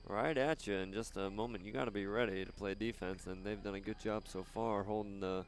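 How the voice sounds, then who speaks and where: Man, low voice, soccer play-by-play announcer, casual banter, halting chatty delivery, outdoors. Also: steady tone.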